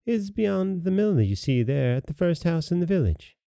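Man doing an innocent, high-lilting little girl. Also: very animated, normal speed, vocal fry, dark tone, no room sound, studio quality, exaggerated voice. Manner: artless, wondering.

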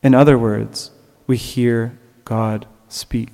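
A man's voice: medium pitch, fairly even intonation, takes it slowly, gravelly, mellow. Man preaching in an echoing hall. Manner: earnest, rising to emphatic peaks, with light laughs. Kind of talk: sermon. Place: church.

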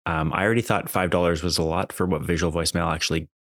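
Man, deep voice, dry close sound, casual podcast monologue, exasperated complaining tone. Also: fairly even intonation, fast talker, fairly bright tone, no echo. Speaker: podcast host.

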